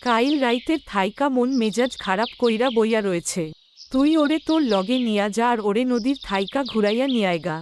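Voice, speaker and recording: A woman as a high-voiced village grandmother. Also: a little fast, slight background noise, close to an everyday voice. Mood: matter-of-fact. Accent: rural Bangladeshi Bengali dialect. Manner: homely, coaxing. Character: caring, homely old village grandmother. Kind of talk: cartoon dialogue.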